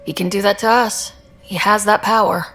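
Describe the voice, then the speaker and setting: An actress playing a steady young space expedition leader in a video game, talking in a measured, earnest way. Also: female voice, soft background music, lightly acted. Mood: serious. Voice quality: low, slightly gravelly.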